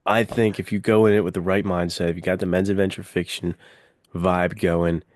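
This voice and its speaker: Very deep-voiced man, casual podcast host. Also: little pitch movement, a little fast, darkish tone, no room sound, clean studio sound. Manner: informal, peppered with "like" and "dude". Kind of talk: casual enthusiastic film chat.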